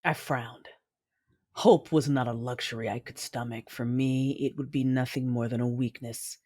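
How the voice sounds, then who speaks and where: Man acting a brooding, vengeful dark warlord. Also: medium pitch, fairly even intonation, normal speed, dry recording, studio quality, natural voice. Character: brooding, vengeful male dark-side warlord, coldly cruel. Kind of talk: first-person audio drama narration and dialogue.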